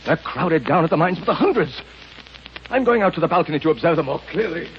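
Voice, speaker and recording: An actor playing a self-righteous, wounded, imperious rich tyrant with melodramatic, indignant delivery in a 1930s radio drama. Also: male voice, a little high, lively intonation, fast. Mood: bitter, resentful. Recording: scratchy muffled 1930s radio recording.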